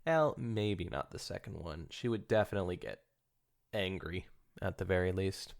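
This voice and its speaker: A man's voice: sits low, normal speed, gravelly. A man co-hosting a podcast, giving casual, opinionated commentary. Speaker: podcast co-host.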